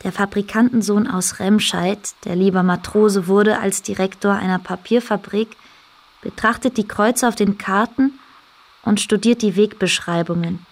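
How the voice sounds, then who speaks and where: A woman's voice: mid-range voice, fairly even intonation, normal speed, close to an everyday voice. A woman reading scripted literary narration as the storyteller of a radio play. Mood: measured storytelling. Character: female storyteller narrating island histories.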